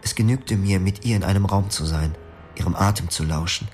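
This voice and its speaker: An actor narrating as a young former psychiatric patient, in a quiet, reflective, melancholic tone in a radio play. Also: male voice, deep voice, a little fast, soft background music, natural voice. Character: young male patient recalling his clinic past. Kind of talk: first-person radio drama narration.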